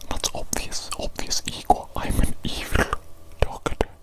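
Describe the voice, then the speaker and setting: A woman's voice: a little slow, close to an everyday voice. Woman playing a whispering, pompous evil mad scientist. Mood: exasperated, scolding. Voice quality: breathy unvoiced whisper with creaky edges. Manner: pompous, fussy, repeats phrases for emphasis. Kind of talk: whispered ASMR roleplay.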